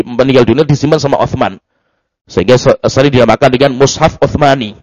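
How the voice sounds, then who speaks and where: A man's voice: medium pitch, no echo, rough recording. A man giving a loose religious study lecture, often restarting words and adding asides. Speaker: Islamic teacher.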